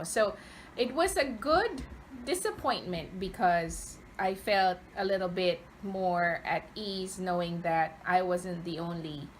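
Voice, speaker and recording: A woman, answering interview questions conversationally and pausing often mid-phrase. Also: fairly low pitch, slight background noise, rough recording. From Philippines, Cavite province. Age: 30s.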